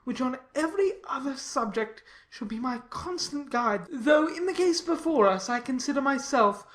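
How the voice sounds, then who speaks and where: A woman voicing a pompous, obsequious and excitable clergyman in a dramatized classic novel, with wordy, emphatic delivery. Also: high-pitched, normal speed, pitched above the performer's own voice. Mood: pompous, insistent.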